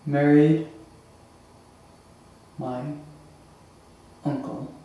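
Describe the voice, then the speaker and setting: A man acting a grieving, bitter young prince in a theatrical Shakespearean monologue, with halting, emphatic delivery and dramatic pauses. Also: on the high side, fairly even intonation, vocal fry, phone-quality sound, exaggerated voice. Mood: anguished. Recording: echoey room, distant microphone.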